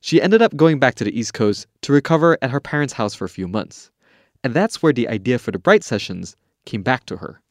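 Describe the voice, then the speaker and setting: A man's voice: medium pitch, very animated, fairly quick, no echo, clean studio sound. A man hosting a podcast and reading scripted documentary narration.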